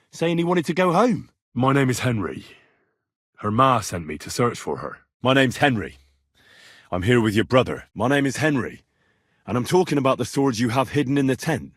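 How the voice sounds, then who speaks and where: A man playing a young, earnest, plucky medieval blacksmith turned adventurer in a video game, with a plain-spoken, expressive delivery. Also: mid-range voice, slightly rough, no room sound, clean studio sound, heavily acted. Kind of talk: video game voice acting, dramatic dialogue lines.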